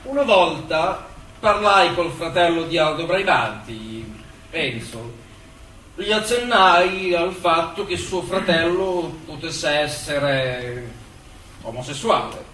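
Slightly distant, with faint noise, a bitter conservative father on the witness stand. His high voice is scornful and declamatory, in a theatrical radio drama style. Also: lively intonation, slow, pushed and bright, exaggerated voice. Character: disapproving, bitter conservative father testifying in court. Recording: roomy echo, distant mic, faint noise.

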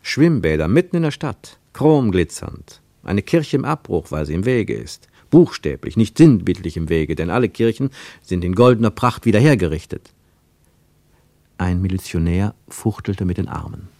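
Radio drama narrator: low register, measured descriptive delivery of scripted travel prose, dry studio. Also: male voice, animated, warm tone, dry recording. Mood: observant. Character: male literary narrator of a Moscow travel account.

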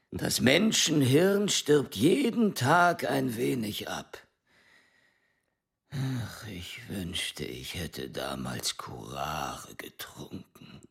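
A grumpy old man of science in a radio drama. His voice is gravelly and creaky, his pace slow and drawn out. He grumbles bluntly and with open contempt, his pitch leaping around. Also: a bit bright, heavily acted. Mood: weary, bitter. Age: older. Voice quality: husky, gravelly. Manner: blunt, scornful, muttering asides. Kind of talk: German radio play acting.

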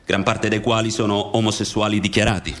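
A man's voice: on the low side, little pitch movement, a little fast, fairly bright tone. A man reading scripted narration as a narrator in a documentary radio drama.

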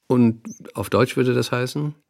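A man's voice: mid-range voice, steady tone, measured pace, vocal fry, a little mellow, no room sound, clean studio sound. A man playing a polite, attentive talk show host in a radio play, conversational and courteous. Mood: curious, amused.

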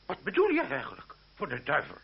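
An actor playing a hot-tempered, gruff older aristocratic uncle in a radio drama, stammering and exclaiming as he blusters. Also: fairly high pitch, huge pitch swings, brisk, full character voice. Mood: indignant, blustering. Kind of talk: Dutch radio drama acting. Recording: muffled, noisy, humming old radio recording.

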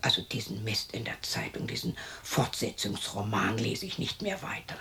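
An actress playing a lonely, bitter widow of sixty in a radio play, talking to herself in a husky, creaky voice that often drops to a whisper, scolding and questioning herself. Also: female voice, a bass voice, very animated, normal speed, background noise, exaggerated voice. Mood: dismissive, restless. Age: 60s. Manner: talks to herself, scolding and questioning, abrupt shifts.

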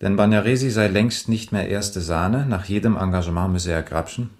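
Actor as a very deep, measured police major. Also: male voice, a little mellow, close to an everyday voice. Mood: matter-of-fact. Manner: measured, matter-of-fact, dryly weary. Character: calm, methodical male police major. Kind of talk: 1980s German radio drama acting.

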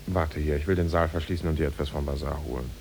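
A man's voice: a bass voice, steady tone, fast, vocal fry, darkish tone, soft background music. A man playing a reluctant, courteous young householder, curt and reserved, in a 1950s German radio play. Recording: noisy 1950s radio recording.

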